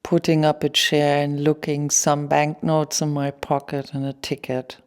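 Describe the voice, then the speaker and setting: A woman playing a weary former punk singer, giving a measured, reflective monologue in a radio play. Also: low voice, little pitch movement, a little mellow, clean tone.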